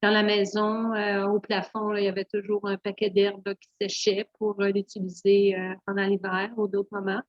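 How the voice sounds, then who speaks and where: A middle-aged Franco-Ontarian woman tells her story as an interview guest, searching for words, full of small restarts and soft fillers. The sound is dull and muffled, a lo-fi recording with a dry, close feel. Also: medium pitch, completely flat delivery, moderate pace, hardly any pauses, no room sound. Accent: Franco-Ontarian French. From Northern Ontario, Canada. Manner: many fillers and restarts, simple and candid. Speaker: guest, naturopath. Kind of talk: hesitant conversational storytelling.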